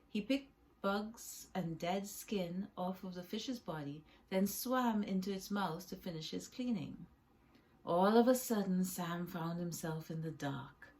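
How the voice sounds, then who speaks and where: A woman with a slightly low voice reads a children's story aloud. She narrates as the book's author, telling it for young listeners. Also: darkish tone, poor audio. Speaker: author reading own children's book.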